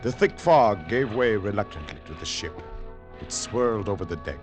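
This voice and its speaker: An actor playing a somber first-person narrator of an espionage tale in an old radio drama, subdued and brooding. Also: male voice, on the low side, animated, normal speed, lightly acted. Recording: old radio broadcast, music underneath. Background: music.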